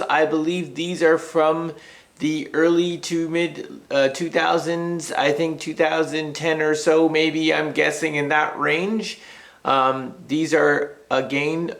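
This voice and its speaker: Man, review host, slightly high voice, even intonation, casual, hesitant phrasing. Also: vocal fry. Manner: hesitant, tentative phrasing with pauses and approximations. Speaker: host of a hi-fi speaker review show. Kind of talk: casual unscripted product review.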